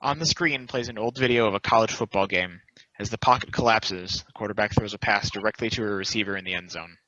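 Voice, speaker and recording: A man's voice: fairly low pitch, fast, bright, forceful tone. A man reading scripted stage directions at a play table read, on a slightly dull microphone.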